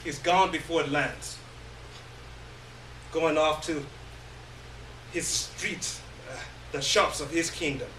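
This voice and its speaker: A man giving a theatrical, halting first-person reading of a literary story on stage. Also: on the high side, steady tone, bright, forceful tone. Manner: theatrical, halting, with long pauses. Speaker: reader of a short story. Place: live indoor stage reading. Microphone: distant microphone. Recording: roomy, distant stage recording, faint noise.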